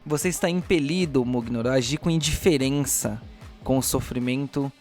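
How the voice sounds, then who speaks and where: A Brazilian man narrating a tabletop game as game master, in a deliberate, theatrical storyteller's manner. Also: mid-range voice, a little mellow, soft background music.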